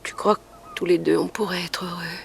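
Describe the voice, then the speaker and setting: Casting: sultry underworld moll, low-pitched for a woman or not, always coaxing, creaky, vintage radio serial. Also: steady tone, fairly quick. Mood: seductive. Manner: coaxing, languid. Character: seductive, world-weary gangster's moll. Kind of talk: 1960s French radio crime drama acting. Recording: faintly noisy vintage radio recording.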